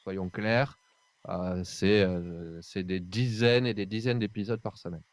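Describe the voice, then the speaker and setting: Male podcast talker, deep-ish and dryly recorded, informal and emphatic in delivery. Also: steady tone, measured pace, no echo. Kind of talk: casual chat.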